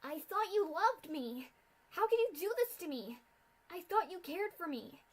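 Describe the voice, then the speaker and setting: A young filly pegasus cries out in heartbreak, her voice pitched high and loud, as a woman reading the story puts her on. She pleads desperately through her tears, shouting at the one she trusted. Each line comes out raw and strained. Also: very animated, a little slow, heavily acted. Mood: pleading, heartbroken. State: crying.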